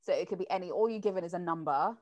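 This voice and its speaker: A woman's voice: fairly low pitch, racing. A woman chatting casually and telling dating stories, acting out what people said. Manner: lively, acts out quotes. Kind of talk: casual chat, storytelling.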